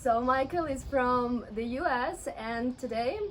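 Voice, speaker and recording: A woman teacher with a Russian accent giving a friendly vocabulary lesson, slowly repeating words and laughing often. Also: high voice, slight background noise.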